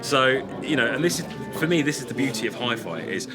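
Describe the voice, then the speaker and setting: A man's voice: mid-range voice, animated, brisk. A man chatting casually as an interviewer. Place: hi-fi show. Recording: music playing behind the voice. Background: loud music.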